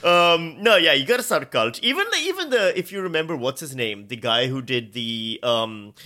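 A man from Pakistan, a guest writer on a comedy podcast, chatting casually in an animated, joking, sweary way. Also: fairly high pitch, moderate pace. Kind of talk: casual comic banter and anecdotes.

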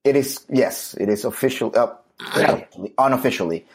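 A man's voice: medium pitch, moderate pace, slightly rough. A man from Colombia co-hosting a podcast, chatting casually in a jokey, emphatic way. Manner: jokey, emphatic, trails off mid-sentence. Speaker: podcast co-host.

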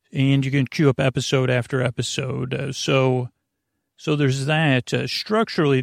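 A man hosting a bedtime podcast with a creaky, gravelly voice, meandering and halting in a goofy, self-deprecating monologue that keeps going off topic. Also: medium pitch, no echo, clean studio sound. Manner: meandering, halting, goes off topic mid-sentence. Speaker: podcast host.

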